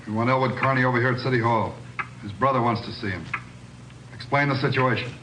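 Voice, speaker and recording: An actor playing a firm, businesslike American senior policeman in 1950s radio drama, giving brisk, matter-of-fact orders. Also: mid-range voice, natural voice. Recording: noisy, clicking old radio recording.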